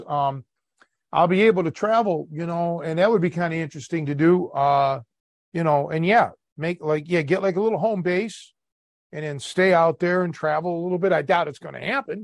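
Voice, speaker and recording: American guy on a podcast, gravelly fry in his voice, rambles with lots of ums, dry close sound. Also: on the high side, dry recording. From United States. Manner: rambling, with many fillers and restarts. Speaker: podcast host. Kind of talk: casual chat.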